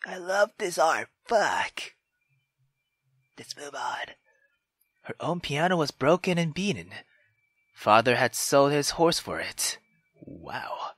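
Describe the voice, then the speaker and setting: A let's player narrating a visual novel aloud in an expressive storytelling manner. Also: medium pitch, no echo, studio quality. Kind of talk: dramatic visual novel narration with brief reactions.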